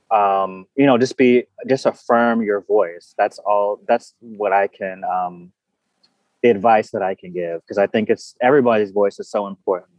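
A man's voice: lowish voice, no echo, highs cut off. A man speaking candidly as an interview guest, reflective and thoughtful.

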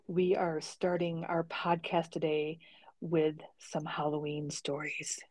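A woman's voice: low-pitched, flat delivery, takes it slowly, darkish tone, no room sound. A woman co-hosting a podcast in casual chat, chatty and laughing often. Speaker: podcast co-host.

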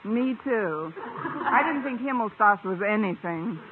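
An actress playing a quick-witted, wry schoolteacher with dry, wisecracking delivery in a 1950s radio sitcom. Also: female voice, mid-range voice, expressive, background noise. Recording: muffled old-time radio broadcast.

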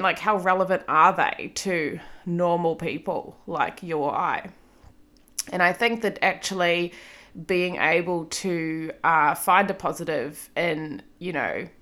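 A woman's voice: lowish voice, sharp tone. A woman in her thirties with a New Zealand accent, hosting a solo podcast episode in a chatty, self-deprecating way with frequent ums and small laughs. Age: 30s. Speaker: podcast host.

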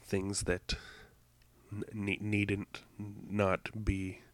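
A man hosting a podcast, congested from allergies, rambling in a halting way with many fillers and self-corrections. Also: on the low side, monotone, dry recording. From Canada. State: congested, stuffed-up nose. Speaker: podcast host. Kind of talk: rambling solo intro.

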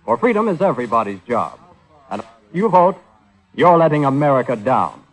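A man's voice: medium pitch, very animated, fairly quick. A man announcing and narrating in formal, declamatory 1950s radio style. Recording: old radio recording, music behind.

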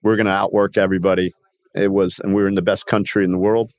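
A man in his sixties from Miami, born in Cuba, telling his life story as an interview guest in a halting, self-correcting way. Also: low voice, little pitch movement, darkish tone, no echo, clean studio sound. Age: 60s. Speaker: podcast guest, company founder.

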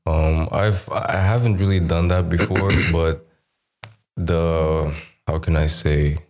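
Deep-voiced young man, laid-back chat. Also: takes it slowly. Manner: relaxed, repeats words, mixes English with Portuguese. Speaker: musician guest. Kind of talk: casual chat.

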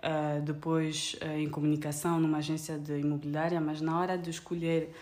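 A woman's voice: low-pitched, flat delivery, a little fast, a little mellow. A young woman from France and Angola speaking Portuguese, hesitant and full of fillers as a podcast guest. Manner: hesitant, many fillers and restarts, laughs easily. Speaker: guest pastry chef. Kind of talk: casual podcast interview.

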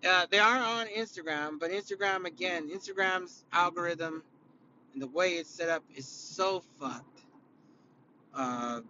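A man from California with a raspy, spent voice gives a rambling, profane rant, heavy with fillers and false starts. His voice sits a little high for a man. The audio is dull and lo-fi, with clear vehicle noise running underneath. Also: measured pace, sharp tone. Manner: rambling, profane, opinionated, with many fillers and restarts. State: raspy, worn-out voice after concerts. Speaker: podcast host. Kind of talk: casual solo podcast rant.

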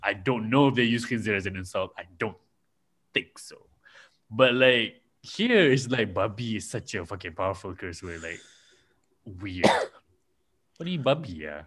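A man's voice: lowish voice, animated, normal speed, pauses often, fairly bright tone. A man chatting casually as a podcast host, musing aloud and swearing freely. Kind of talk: casual chat.

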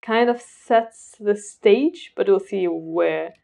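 A woman hosting a conversational interview podcast, putting questions to her guest in a calm way. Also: mid-range voice, slow pace, vocal fry. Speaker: podcast host.

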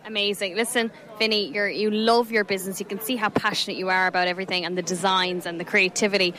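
A woman's voice: medium pitch, level tone, fast, bright, forceful tone. A young woman with an Irish accent, a bubbly and effusive radio presenter interviewing stall holders on location at an outdoor show.

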